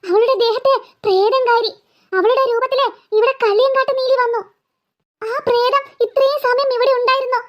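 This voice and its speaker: A woman voices a jumpy young girl with an extremely high, squeaky voice. She pleads insistently and tends to stammer, in the manner of a comic horror cartoon. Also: steady tone, normal speed, lo-fi sound. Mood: insistent, frightened. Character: easily frightened, insistent young girl pleading with her mother. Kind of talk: comic horror skit acting.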